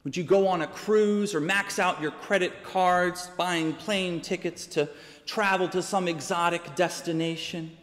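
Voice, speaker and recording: Slow, deliberate man preaching in a church. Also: high voice, fairly even intonation, a bit bright. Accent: American. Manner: measured, deliberate delivery with dramatic pauses. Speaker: pastor. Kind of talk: sermon. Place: large church sanctuary, preaching from a pulpit. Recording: long church reverb.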